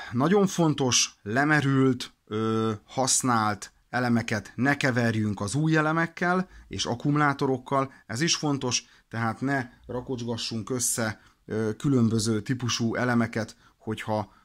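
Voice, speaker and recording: A man explaining products in an informal instructional way, practical and a little hesitant in his delivery. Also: mid-range voice. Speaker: product demonstrator for a radio shop.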